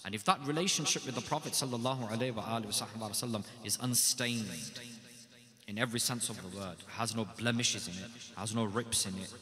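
A man's voice: on the low side, fairly quick, crowd noise. A man speaking at a religious gathering, delivering an emphatic devotional address that stacks short, repeated phrases before introducing the scholars. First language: not Urdu. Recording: long hall reverb.

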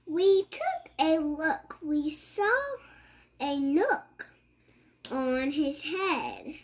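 A child reads a picture book aloud in a high voice. The pace is extremely slow, and the pitch moves up and down in a lively way. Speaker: child reader.

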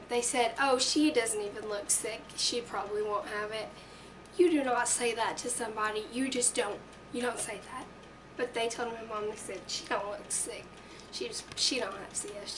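A teenage girl with a raspy voice chatting earnestly to camera in her bedroom, with lots of ums. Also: a little high. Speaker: vlogger. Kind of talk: casual chat to camera. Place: small bedroom indoors. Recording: distant webcam audio with noise.

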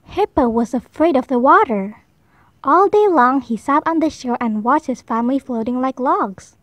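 A woman reads a children's picture book aloud in a high, bouncy voice, taking her time over every line. The sound is dry and close, with no room behind her. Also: dry recording. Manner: slow, expressive, high voices for animal lines. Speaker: storybook reader. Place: indoors at a table, book held to camera.

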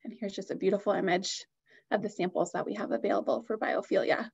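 A woman's voice: medium pitch, fairly even intonation, quick pace, vocal fry, a little mellow, breathy edge, lo-fi sound. A woman giving an upbeat, enthusiastic product presentation on a video call.

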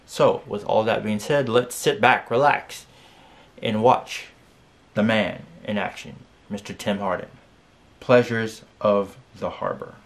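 A man in his thirties talking casually as a music reviewer, often pausing mid-sentence, recorded in a bedroom on a camera at arm's length. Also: lowish voice. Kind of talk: casual reaction video talk. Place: small furnished bedroom. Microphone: camera at about arm's length.

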